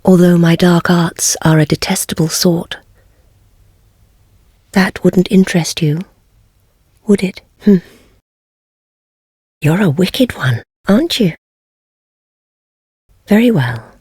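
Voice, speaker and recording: An actress playing a wry, self-deprecating heretic sorceress in a dark fantasy game, with a husky, breathy voice and a languid, teasing, formal manner. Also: female voice, fairly low pitch, takes it slowly, pauses often, no room sound, studio quality, exaggerated voice. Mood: teasing, knowing.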